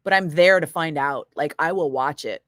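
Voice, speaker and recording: A middle-aged woman radio DJ chatting casually with viewers between breaks, profane and quick to laugh. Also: sits low, fairly bright tone, dry recording, clean studio sound. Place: radio studio.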